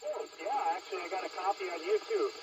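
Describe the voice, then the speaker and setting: A man chatting casually and a little haltingly over two-way radio as an amateur operator. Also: high voice, huge pitch swings. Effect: heard over two-way radio. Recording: distant, noisy handheld radio transmission.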